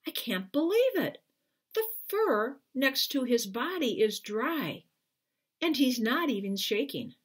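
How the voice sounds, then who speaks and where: A woman's voice: high voice, big pitch swings, slow, breathy edge, voice pushed up, full character voice. A woman voicing earnest, eager male crewmen in a children's storybook, in an exclamatory way. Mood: amazed.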